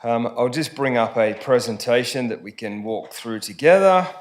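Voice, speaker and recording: A man's voice: medium pitch, animated, normal speed. An Australian man, a company CEO, giving a formal presentation to a city council, with frequent ums and restarts. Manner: hesitant, many ums and restarts. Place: council chamber.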